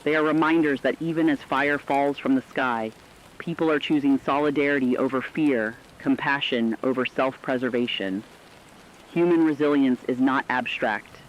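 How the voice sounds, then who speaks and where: A man's voice: mid-range voice, normal speed, dull audio, slight background noise, lightly acted. A man acting as a radio correspondent, reading scripted dramatic news in a solemn, earnest, rhetorical manner. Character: solemn radio correspondent reporting human survival amid apocalypse.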